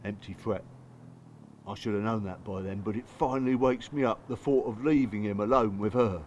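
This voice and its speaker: A man's voice: on the low side, moderate pace, a little mellow, dull audio, slight background noise, natural voice. An actor playing a bitter, working-class English father in a radio drama, confessing his hurt in blunt, colloquial, strained speech. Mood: weary, hurt. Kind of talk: radio drama dialogue and monologue.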